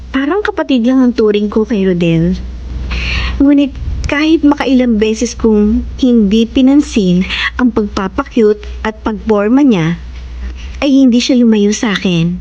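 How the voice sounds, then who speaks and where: Expressive, high-voiced young Filipina sweetheart. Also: female voice, highs cut off. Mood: reflective. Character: Lenny, young woman, affectionate, frank, confident in love. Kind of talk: Filipino radio drama acting with narration.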